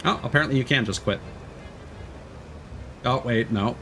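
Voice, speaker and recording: A man's voice: medium pitch, normal speed, pushed and bright, soft background music. A man giving casual, laid-back live commentary as he plays a video game, explaining things as he goes.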